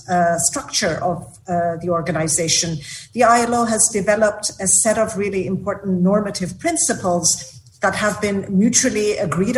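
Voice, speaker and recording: A woman director speaks at a formal meeting in a measured way, pausing often on small fillers. Her pitch sits in the middle range. Faint noise hums under her voice. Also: poor audio. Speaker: department director.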